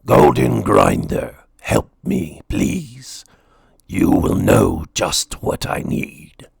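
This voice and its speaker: A gigantic, kindly dragon from a children's storybook, acted out by a male reader. The voice is low, with a gravelly creak. It speaks slowly and solemnly, as a gentle giant would. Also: animated, no echo, clean studio sound, heavily acted. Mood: solemn, instructing. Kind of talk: children's storybook read-aloud.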